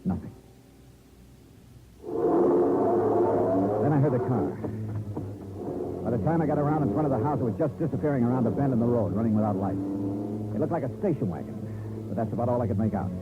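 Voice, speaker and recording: An actor playing a wry, hardboiled male insurance investigator who narrates his case in terse deadpan asides, in 1950s radio drama style. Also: medium pitch, fast talker, very noisy, close to an everyday voice. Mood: tense, grim. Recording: narrow-band old radio recording with music.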